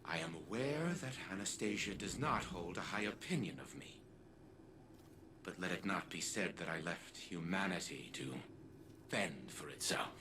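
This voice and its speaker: An actor playing a haughty old male artificial intelligence in a video game, speaking in a formal, pompous, deliberate way. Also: low-pitched, expressive, noisy background. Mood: aggrieved, proud. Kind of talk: scripted video game dialogue.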